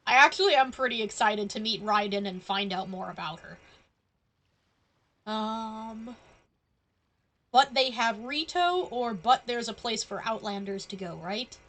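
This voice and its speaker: A woman's voice: fairly high pitch, animated, a little slow, fairly bright tone. A woman game streamer giving casual commentary, thinking aloud in a conversational way. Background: game music.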